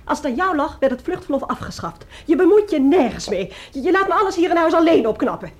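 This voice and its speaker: A woman's voice: high-pitched, sing-song, fast talker, a little mellow. An actress playing an anxious, nagging middle-aged mother and neglected wife in a radio play, fretful and reproachful. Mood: bitter, reproachful. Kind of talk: Dutch radio play acting.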